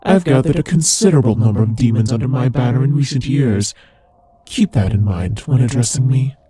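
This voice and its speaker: A man voicing a haughty, teasing demon lord in an ASMR roleplay, imperious and condescending, with a husky voice. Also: mid-range voice, fairly quick, dark tone, dry recording, lightly acted. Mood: imperious, menacing. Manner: imperious, condescending, playfully taunting. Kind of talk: ASMR roleplay audio drama addressed to the listener.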